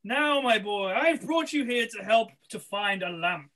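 A woman's voice: medium pitch, lively intonation, unhurried, a bit bright. A woman playing a scheming, impatient male pantomime villain in a theatrical, insistent read-through. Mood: coaxing. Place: indoors at home, on a video call.

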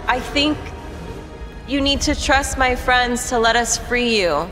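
Woman playing solemn, high-voiced pleading adventurer. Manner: solemn, measured, imploring. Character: earnest female adventurer pleading reverently with a dragon. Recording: reverberant hall sound with music.

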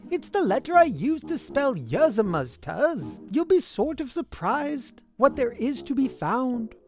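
High-voiced, animated woman reading a storybook. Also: unhurried. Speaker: storybook reader. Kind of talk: expressive read-aloud of a rhyming children's picture book. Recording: music behind the voice. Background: music.

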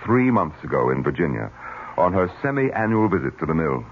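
An urbane, guarded businessman in a vintage radio play. His voice sits low and swings widely in pitch. The old broadcast recording is dulled. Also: sing-song, brisk, dull audio, slight background noise, heavily acted. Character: guarded, urbane nephew who manages the family mill. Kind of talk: 1970s radio drama acting.

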